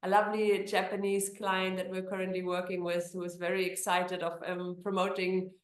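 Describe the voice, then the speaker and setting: A woman's voice: fairly low pitch, monotone, moderate pace, echoey room. A woman from Germany with a German accent, an immigration attorney answering interview questions in a chatty way with many fillers and restarts.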